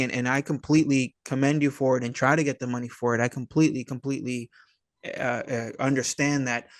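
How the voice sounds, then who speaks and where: A man's voice: mid-range voice, no room sound, studio quality. A man chatting casually as a filmmaker guest in an interview, hesitant and full of slang like dude and man. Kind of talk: casual chat.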